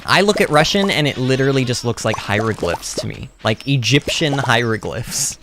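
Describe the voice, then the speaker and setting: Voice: medium pitch, animated, moderate pace, noisy background. A streamer casually rambling and answering chat during a game livestream, speaking animatedly and emphatically as a native English speaker. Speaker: livestreamer playing a farming game.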